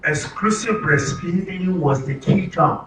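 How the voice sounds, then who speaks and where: A man's voice: high voice, measured pace, a bit bright. A man with a Nigerian accent giving an interactive public talk, emphatically posing questions and answering them as a presenter. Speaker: presenter at a health orientation for media. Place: room with an audience. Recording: roomy, distant mic, faint noise.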